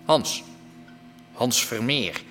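An actor playing a calmly businesslike, menacing drug boss in a naturalistic radio drama, speaking in a terse, understated way. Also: male voice, medium pitch, drawn-out words, music in the background. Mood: cool, menacing.

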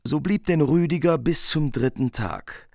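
A man's voice: fairly high pitch, unhurried, creaky, darkish tone, no echo, studio quality. A man narrating an old epic as scripted storytelling in a radio drama.

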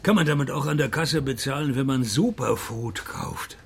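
An actor playing a dry, sardonic private detective in a radio play, deadpan, with a gravelly, husky voice. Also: male voice, medium pitch, normal speed, a little hiss or hum, close to an everyday voice. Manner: deadpan, sarcastic. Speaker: detective narrating his own case. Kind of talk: radio play narration and dialogue.

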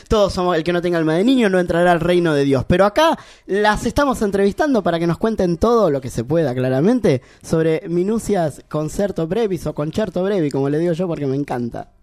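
A man's voice: sits high, big pitch swings, brisk, no room sound. A middle-aged man co-hosting a radio show, chatty and playful, laughing often. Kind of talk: casual radio chat.

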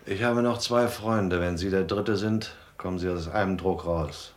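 A man acting a hunted gangster who has quit the mob, in a radio play. His voice is low, his manner guarded and terse, tough with anxiety underneath. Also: steady tone, moderate pace, lightly acted. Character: hunted male gangster dropout, tough yet anxious. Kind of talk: German radio drama acting.

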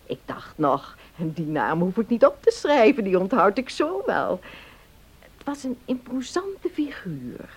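A woman's voice: mid-range voice, measured pace, husky, full character voice. An actress playing a chatty, slightly forgetful lady of the house in a Dutch radio play. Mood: musing, remembering. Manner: chatty, animated.

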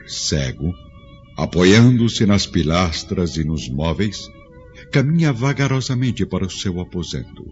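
A man narrating a radio drama in a measured, solemn way. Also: on the low side, darkish tone. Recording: dull lo-fi audio with faint score.

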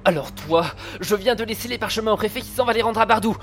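Flustered police inspector, higher-pitched, stammering, grumbling, exasperated, radio play, light music. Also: male voice, quick pace, gravelly, a bit bright. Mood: agitated, exasperated. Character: flustered, put-upon police inspector, exasperated younger brother. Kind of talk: French audio drama acting.

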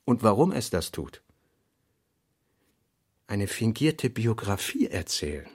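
A man reading a writer's notebook for radio in a deliberate, reflective way, pausing between phrases. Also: fairly low pitch, very animated, darkish tone, husky. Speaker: reader.

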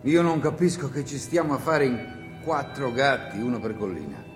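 An actor playing a weary, doubting partisan who muses to himself in a theatrical monologue. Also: male voice, mid-range voice, animated, normal speed, close to an everyday voice. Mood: weary musing. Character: weary, doubting Italian partisan alone in the hills. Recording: reverberant hall with music behind.

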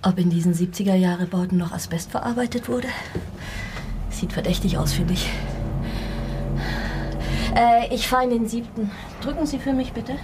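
Scattered, wry midwife played by an actress, noise behind. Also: female voice, medium pitch, moderate pace, long pauses, close to an everyday voice. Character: chaotic freelance midwife, wry and polite. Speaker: narrator.

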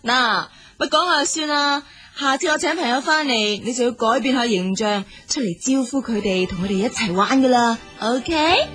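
An actress playing a sociable, bossy young wife in a radio drama, chatty and cajoling in Hong Kong Cantonese. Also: female voice, a little high, normal speed, a bit bright, lightly acted. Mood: coaxing. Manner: chatty, cajoling, takes charge. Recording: music behind the voice.

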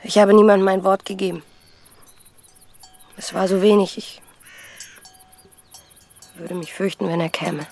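An actress playing a poor young cowherd maid in a radio drama, earnest and simple, with a guarded, hesitant way of speaking. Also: female voice, medium pitch, steady tone, measured pace, vocal fry, long pauses, a little mellow. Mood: uneasy confiding. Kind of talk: scripted German radio drama acting.

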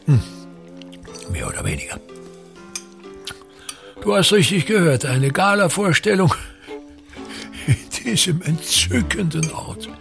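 An actor playing a pompous, grandiose older thespian patriarch in a radio drama, declaiming with gravelly, aggrieved emphasis. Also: male voice, on the high side, exaggerated voice. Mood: imperious. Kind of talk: theatrical radio drama monologue.

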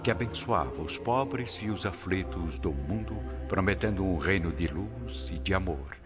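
A man's voice: low-pitched, animated, unhurried. A Brazilian man narrating a radio soap in a solemn, formal, dramatic scripted style. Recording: dull lo-fi radio drama recording. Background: very loud dramatic music.

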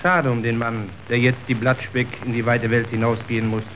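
An actor playing a younger, down-and-out worker, servile and pragmatic, in a radio drama. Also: male voice, medium pitch, little pitch movement, moderate pace, noisy background, natural voice. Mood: servile, musing.

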